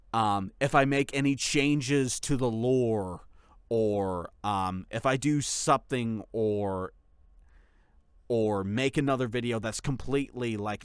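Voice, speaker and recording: A man's voice: medium pitch, clean tone, dry recording, clean studio sound. A man speaking casually as a channel host, giving a rambling, explanatory update to followers. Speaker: ASMR voice actor and channel host.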